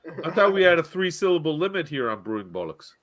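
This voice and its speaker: A man's voice: a little high, very animated, quick pace, fairly bright tone. A man co-hosting a podcast in casual, teasing banter, often repeating words as he starts a thought. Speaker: podcast co-host.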